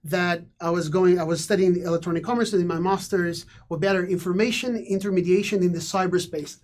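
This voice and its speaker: A man's voice: high-pitched, steady tone, brisk. A man whose first language is Italian, a guest academic in casual conversation, talking thoughtfully with many repeated words and fillers. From Brazil.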